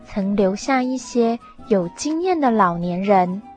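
A woman narrating a scripted radio drama in a measured storytelling manner. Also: mid-range voice, animated, darkish tone, faint music behind.

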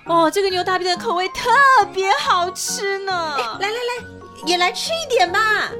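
A woman's voice: very high voice, lively intonation, normal speed, over music, pitched above the performer's own voice. A woman voicing a graceful, cheerful butterfly in a children's radio play, with a sweet, airy, coaxing manner. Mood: eager, coaxing. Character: graceful, cheerful female butterfly who relishes cow dung.

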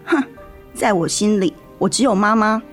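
一位女演员在广播剧中饰演十七岁、爱赌气又叛逆的姐姐。. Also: female voice, medium pitch, normal speed, faint music behind, close to an everyday voice. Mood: bitter. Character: sulky, defiant seventeen-year-old girl, elder sister. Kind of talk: radio drama acting.

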